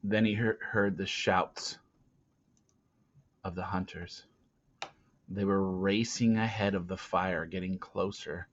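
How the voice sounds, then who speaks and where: A man narrating a storybook dramatically, swinging theatrically between hushed and loud delivery. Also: deep voice, fairly even intonation, slow pace, long pauses, highs cut off. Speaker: reader of a children's novel.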